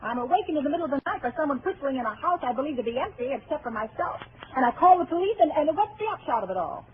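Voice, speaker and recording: An actress playing an irritable, frightened, haughty spinster who lives alone, with sharp, sarcastic, precise diction in a 1940s radio suspense style. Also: female voice, high-pitched, sing-song, racing. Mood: agitated. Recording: tinny, noisy 1940s radio broadcast.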